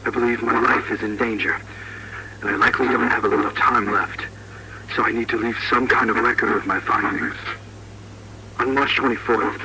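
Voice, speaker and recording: A man playing a fearful, scholarly archaeologist recording a solemn, halting final log for a horror story. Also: fairly low pitch, natural voice. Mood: grave, resigned. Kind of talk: found-recording horror monologue. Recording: very noisy, crackly old tape recording.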